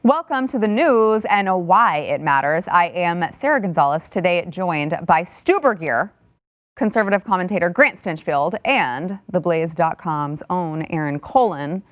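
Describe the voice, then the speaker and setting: A woman's voice: fairly low pitch, expressive, unhurried, dry recording. A woman from Texas hosting a news panel show, breezy and joking as she chats with her guests. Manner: breezy, joking, self-mocking. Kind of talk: casual panel chat.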